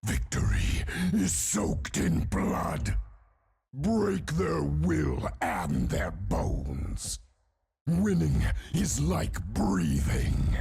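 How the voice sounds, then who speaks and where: A man voicing a hulking, bloodthirsty undead warrior in a video game, with a raspy, guttural voice and menacing roars and growls. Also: low voice, a little mellow, exaggerated voice. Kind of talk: video game character voice lines.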